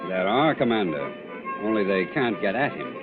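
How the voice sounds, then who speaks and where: A man's voice: on the low side, sing-song, normal speed. An actor playing an anxious, officious secretary to an important man in 1940s radio drama style. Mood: guarded, proud. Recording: tinny old radio broadcast, music behind.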